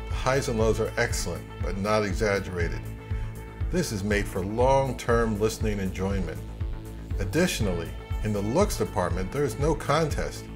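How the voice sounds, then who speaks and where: A man's voice: lowish voice, lively intonation, moderate pace, over music. A man, giving a conversational product review as an audio gear reviewer.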